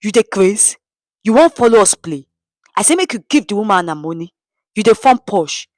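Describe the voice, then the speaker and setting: A woman's voice: mid-range voice, expressive, normal speed, darkish tone, no echo, studio quality, natural voice. A woman voicing a menacing male street thug in a story, barking threats with a Nigerian accent.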